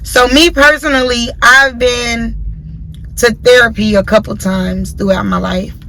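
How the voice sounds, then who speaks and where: A woman's voice: on the high side, steady tone, measured pace, gravelly, sharp tone, a little hiss or hum, poor audio. Blunt, profane late-20s woman ranting in a car. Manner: blunt, profane, preachy, repeats points for emphasis. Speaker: podcast host. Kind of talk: casual opinion monologue.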